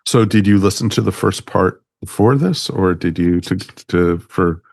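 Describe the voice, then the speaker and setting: A man's voice: low-pitched, unhurried, vocal fry, a little mellow, no echo, studio quality. A man hosting a podcast in casual chat, rambling along with a fan's enthusiasm. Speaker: podcast host.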